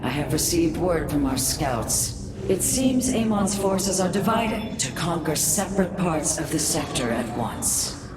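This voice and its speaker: An actress playing a wise female alien mystic who speaks in a calm, measured, solemn way. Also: sits low, over a noisy crowd.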